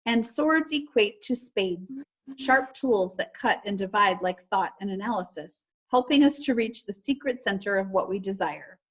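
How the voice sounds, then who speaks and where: A woman voicing a knowledgeable storybook girl who explains clues in a deliberate, emphatic way. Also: mid-range voice, animated, slightly rough, rough recording. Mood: earnest, explaining.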